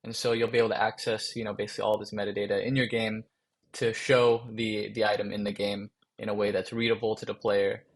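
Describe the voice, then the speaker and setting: A man presenting a software workshop, talking casually through a screen-share with many fillers, his voice getting rough after a long day of calls. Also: fairly low pitch, little pitch movement, fast talker. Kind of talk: screen-share coding tutorial.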